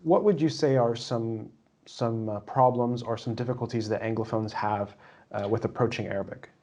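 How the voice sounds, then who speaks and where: A man's voice: mid-range voice, normal speed, dark tone, dull audio. A man hosting a podcast, asking hesitant questions full of fillers and giving short backchannels. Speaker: podcast host. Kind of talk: casual interview questions.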